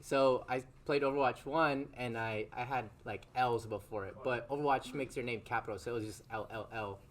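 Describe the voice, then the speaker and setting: A man's voice: mid-range voice, steady tone, brisk. A young man whose first language is Tagalog, telling a story with frequent laughs in a casual podcast chat. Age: young adult. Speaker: esports team member.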